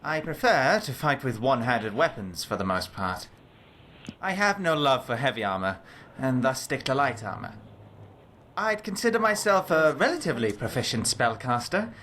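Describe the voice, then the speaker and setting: Game follower: male actor as a scholarly reptilian lawman-mage, formal and measured, mid-pitched, some background noise and ambience. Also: sing-song, bright, forceful tone. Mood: composed. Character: composed, scholarly adult male lizard-folk lawman and spellcaster follower. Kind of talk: scripted game character dialogue.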